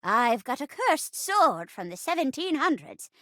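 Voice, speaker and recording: Game role: a plucky old granny rocking chair; theatrical, folksy, high and wildly swooping delivery. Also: female voice, very animated, unhurried, pushed and bright, no echo, full character voice. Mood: defiant. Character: melodramatic, plucky old rocking-chair wife hunting her missing husband. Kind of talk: hammy murder-mystery play-acting in a video game.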